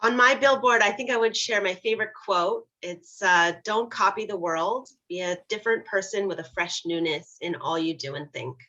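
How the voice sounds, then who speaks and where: A woman from Canada, a guest speaker on a video call, introducing herself cheerfully and clearly. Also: medium pitch, normal speed, hardly any pauses, bright, forceful tone. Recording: slightly dull, muffled line.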